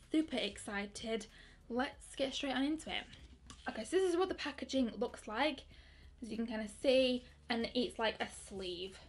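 A young woman in her twenties chatting excitedly through a makeup review, with lots of fillers and restarts. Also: fairly high pitch. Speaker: beauty vlogger. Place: bedroom with colourful lighting.

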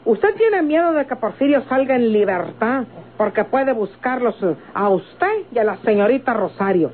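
A woman's voice: on the high side, lively intonation, moderate pace, natural voice. An actress playing a sly, defiant country woman with a rural Mexican accent and a needling manner, in an old radio drama. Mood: defiant, guarded. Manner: needling, insinuating. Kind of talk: golden-age Mexican radio drama acting. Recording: tinny, noisy old radio recording.

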